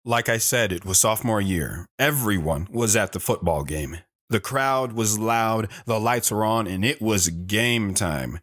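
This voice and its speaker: A man playing a radio show guest who tells his story in a calm, matter-of-fact way. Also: fairly low pitch, unhurried. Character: plainspoken former high-school athlete.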